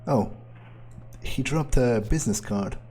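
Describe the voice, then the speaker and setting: A man's voice: medium pitch, unhurried, warm tone. A man doing in-character gameplay commentary, thinking aloud in a nonchalant, rambling way with casual swearing. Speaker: let's player.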